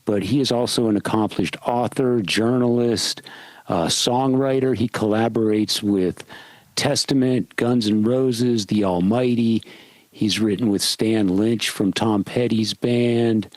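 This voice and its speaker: A man with a lowish voice hosts a podcast in relaxed conversation. He talks in an easygoing, steady way. The sound is dry and close, with no room echo. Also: measured pace. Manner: easygoing, steady, introduces guest at length. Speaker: podcast host. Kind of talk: casual podcast chat.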